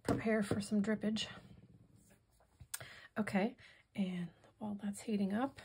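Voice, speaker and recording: A hoarse woman demonstrating a craft project in casual tutorial style, softly thinking aloud and drifting into whispered asides. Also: mid-range voice, fairly even intonation, measured pace, a little hiss or hum. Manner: soft-spoken, musing, drifts into whispered asides.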